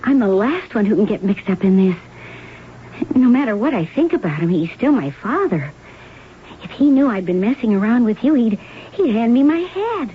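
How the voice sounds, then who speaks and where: A woman's voice: a little high, very animated, moderate pace. A woman playing a worldly, scheming young mistress and gangster's daughter in a slangy 1970s radio drama style. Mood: cool, scheming. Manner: slangy, familiar, hard-boiled. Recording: tinny, noisy old radio broadcast.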